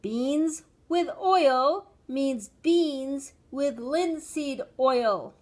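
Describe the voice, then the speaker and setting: A woman voicing a loud, exasperated mother scolding her young son in a storybook reading. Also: high voice, very slow, pitched above the performer's own voice. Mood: impatient instructing.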